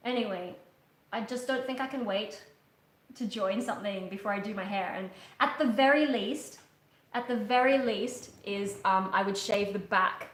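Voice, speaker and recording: A woman's voice: medium pitch, moderate pace. A young woman talks to her livestream viewers from a couch in her living room. She rambles and restarts often, with frequent ums, and her voice carries the echo of a roomy room picked up from a webcam a little way off. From Australia. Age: young adult. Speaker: livestream host, metal singer. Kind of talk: casual live chat. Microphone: webcam at a distance.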